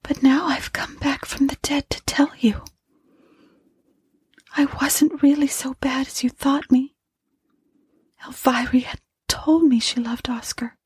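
A woman voicing a dead wife's ghost in a gothic story, weary, tender and pleading, with a faint, hollow, breathy voice. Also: high-pitched, fairly even intonation, unhurried, pauses often, darkish tone, no echo, clean studio sound, pitched above the performer's own voice.

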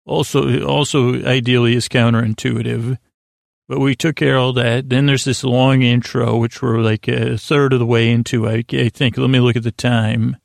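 A man with a gravelly, creaky voice drifts through a late-night sleep podcast, restarting his sentences and wandering off mid-thought. His delivery is soft and lulling, and the sound is dry and close with no room around it. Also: medium pitch, little pitch movement, normal speed, no room sound. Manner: meandering, self-interrupting, many restarts. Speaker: podcast host.

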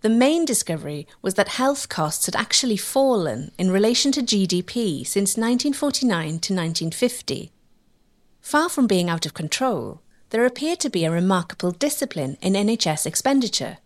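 A woman giving measured, scripted narration for a history documentary. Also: medium pitch, big pitch swings.

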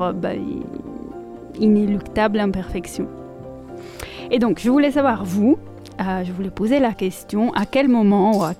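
Italian woman, accented French, reads in a calm, measured way, mellow darker tone, faint music under her voice. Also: medium pitch. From Italy. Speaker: podcast columnist. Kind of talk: reading aloud and commentary.

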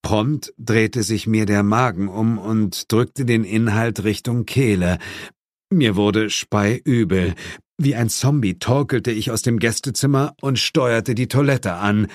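A man narrating an audiobook in the first person, with a dramatic, theatrical and deliberate delivery. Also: lowish voice, dry recording, clean studio sound.

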